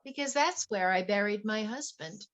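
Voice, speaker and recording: A woman's voice: medium pitch, expressive, moderate pace, a bit bright, close to an everyday voice. A woman playing a betrayed wife turned coolly vengeful poisoner in a dramatic stage play reading. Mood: wistful. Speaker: actor in a play workshop.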